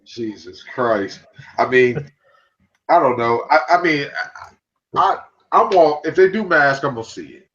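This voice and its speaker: A man's voice: medium pitch, lively intonation, unhurried, pauses often, a bit bright. A man chatting casually on a podcast, rambling with many restarts and some swearing. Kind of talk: casual chat about movies. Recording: dull lo-fi mic.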